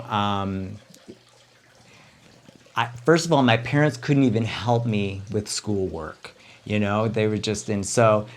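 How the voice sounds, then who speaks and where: A young man who grew up speaking Italian first, chatting casually as a winery owner, with a relaxed manner and frequent ums. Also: medium pitch. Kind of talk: casual chat to camera.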